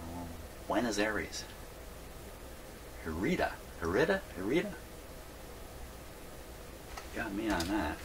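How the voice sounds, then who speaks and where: A man with a low-pitched voice talks casually through hands-on work in a home workshop. He rambles and muses to himself as he goes, with clear noise behind him on the recording. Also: sing-song, takes it slowly, pauses often. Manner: rambling, musing aloud to himself. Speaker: host of a radio repair video. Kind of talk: casual hands-on workbench narration.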